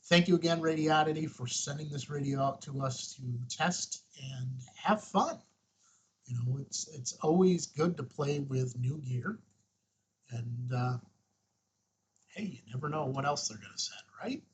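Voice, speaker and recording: A man with a husky, rough-edged voice presenting a casual step-by-step tutorial, informal and thinking aloud as he goes. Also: on the high side, unhurried, a little hiss or hum, low-quality recording. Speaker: host, ham radio tutorial presenter.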